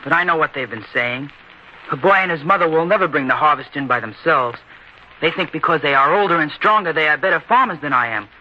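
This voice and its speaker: A man's voice: a little high, animated, fairly quick. A man acting a proud, headstrong farm boy in an old-time radio drama, earnest and declamatory in his insistence. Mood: proud, defensive. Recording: dull, lo-fi radio recording with hiss.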